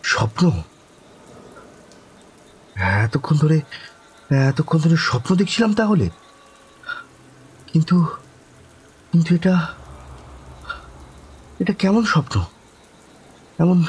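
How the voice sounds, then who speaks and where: A man acting as the shaken first-person narrator of a horror audio story, halting and suspenseful, with dramatic pauses. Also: fairly high pitch, a little mellow, breathy edge, exaggerated voice. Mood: bewildered. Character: shaken, haunted man recounting a ghostly dream.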